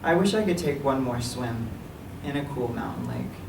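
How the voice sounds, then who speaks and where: A man reading his own writing aloud at a library event, in a measured, even delivery. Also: medium pitch, vocal fry. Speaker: writer reading his work. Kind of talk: literary reading. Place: library meeting room. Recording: echoey room, distant mic, some noise.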